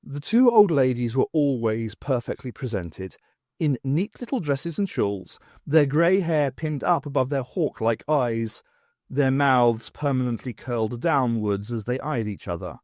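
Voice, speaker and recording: A man reading fiction as an audiobook narrator, with expressive scripted delivery. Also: mid-range voice, a little slow, dry recording, clean studio sound.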